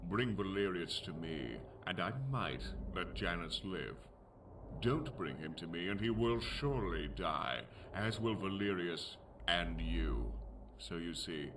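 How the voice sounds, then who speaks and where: A low-pitched, coldly smug elven villain from a fantasy game. He taunts deliberately and theatrically, savoring his power, with music playing clearly behind him. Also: exaggerated voice. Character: menacing elven Thalmor commander, smug, cold villain. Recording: loud music under the voice.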